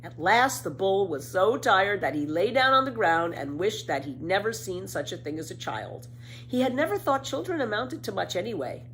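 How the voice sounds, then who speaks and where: Animated woman reading a children's story. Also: medium pitch, normal speed. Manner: dramatic, emphatic storytelling. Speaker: storybook reader. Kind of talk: expressive children's story narration. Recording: faint background noise.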